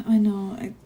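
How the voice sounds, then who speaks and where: A woman's voice: medium pitch, noisy background. A woman playing a friendly, chatty giantess office worker in an ASMR roleplay, caring and a little hesitant as she talks to a tiny colleague. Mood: caring, confiding. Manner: bubbly, caring, apologetic, hesitant with soft laughs. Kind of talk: ASMR roleplay, one-sided conversation.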